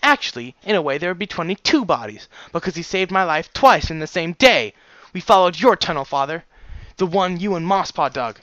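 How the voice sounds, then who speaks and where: Male narrator as angry pony daughter, storybook character, raised pitch, sharp edge, indignant, defiant. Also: normal speed, few pauses, dry recording, highs cut off. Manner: shouting, indignant, biting. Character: fiery, defiant young mare, furious grown daughter.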